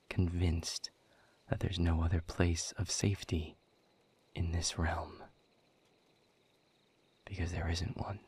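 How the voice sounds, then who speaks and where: A weary, guarded demon captive from an audio drama, acted by a man, with a very low, husky voice that often sinks toward a whisper. He is bitter and quietly defiant, choosing his words slowly and with sardonic formality. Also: heavily acted. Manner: measured, deliberate, sardonic, formal phrasing. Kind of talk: scripted audio roleplay monologue.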